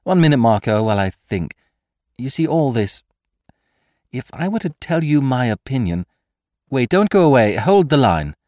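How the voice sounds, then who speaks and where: A man voicing a triumphant, mocking gentleman thief in an audiobook, theatrical and commanding, firing off questions and taunts. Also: medium pitch, big pitch swings, normal speed, pauses often, no echo, studio quality, voice pushed up, close to an everyday voice. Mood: brisk, scheming. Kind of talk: dramatised audiobook character voice.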